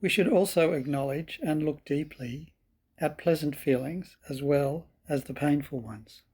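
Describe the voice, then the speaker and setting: A man with a slightly husky voice guiding a meditation in calm, measured, deliberate phrases. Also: fairly high pitch. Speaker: meditation teacher. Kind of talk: slow guided meditation.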